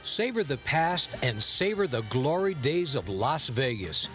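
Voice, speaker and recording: A man's voice: medium pitch, very animated, a little slow. An American man reading a scripted restaurant advertisement as a commercial announcer. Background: music bed.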